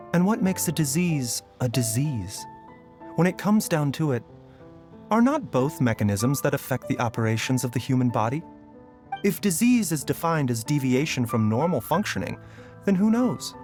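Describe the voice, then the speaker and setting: An actor playing a gentle young doctor in a game, speaking in a calm, measured, reflective way. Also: male voice, mid-range voice, natural voice.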